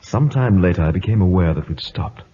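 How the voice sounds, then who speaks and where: Loyal wounded officer, low pitch, grave measured storytelling, old radio play, dull recording. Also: male voice, expressive, mellow, slightly rough. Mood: grave recounting. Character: loyal, stoic wounded naval officer recounting his story. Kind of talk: old-time radio drama narration and acting.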